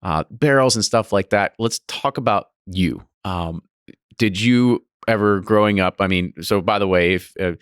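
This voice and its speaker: Man hosting a podcast, deep low voice, rambling casual talk, dry close mic. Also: clean tone, no room sound. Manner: rambling, restarts phrases, quick bursts. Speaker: podcast host.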